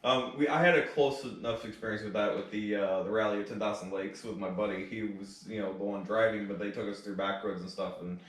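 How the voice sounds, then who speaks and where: A man's voice: on the low side, steady tone, brisk, few pauses. A man chatting casually and informally as a podcast co-host, with chuckles and plenty of filler phrases. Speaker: podcast co-host leading the topic. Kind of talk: casual chat about cars and racing. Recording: echoey room, distant mic.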